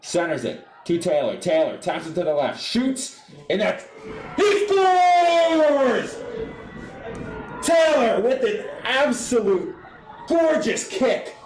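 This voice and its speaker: A young adult man doing live soccer play-by-play for radio, describing the action in an animated way. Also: extremely high pitch, slow pace, reverberant, low-quality recording. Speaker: play-by-play sportscaster.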